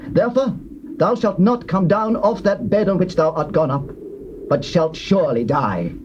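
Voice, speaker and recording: An actor with a British accent playing a stern, authoritative master prophet in a scripture drama, speaking in weighty, declamatory archaic English. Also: male voice, high voice, animated, normal speed, a little mellow. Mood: denouncing. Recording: dull, noisy old cassette tape.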